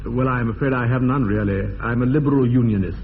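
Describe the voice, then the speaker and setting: An actor playing an earnest, slightly nervous English gentleman in a radio comedy, with an upper-class accent and a polite, hesitant manner. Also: mid-range voice, quick pace, lightly acted. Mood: hesitant, apologetic. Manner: courteous, hesitant, apologetic. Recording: dull lo-fi radio recording, faint noise.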